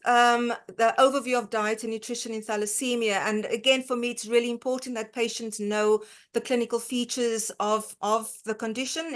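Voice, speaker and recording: A woman from South Africa with a South African accent, a dietitian giving an online lecture, often pausing with fillers and restarting her sentences. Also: fairly high pitch. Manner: hesitant, many fillers and restarts.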